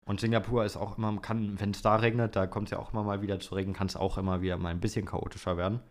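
A young man talks in a fairly low voice. He is co-hosting a podcast, answering questions casually and in relaxed, colloquial phrasing. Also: fast. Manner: colloquial, slangy. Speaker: podcast co-host.

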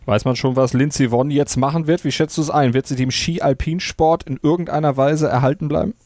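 A man hosts a sports podcast, moving smoothly from question to recap in a fluent presenter's voice. The sound is dry and close, with no room around it. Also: fairly high pitch, fairly even intonation, brisk, a little mellow, no echo. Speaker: podcast host.

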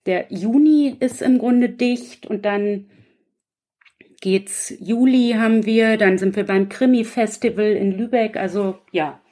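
A woman with a medium-pitched voice chats as a guest on a podcast. She explains in a loose, conversational flow, moving from one thought to the next. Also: slow, mellow. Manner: chatty, loosely structured, explanatory. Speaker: guest author. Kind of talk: casual podcast chat.

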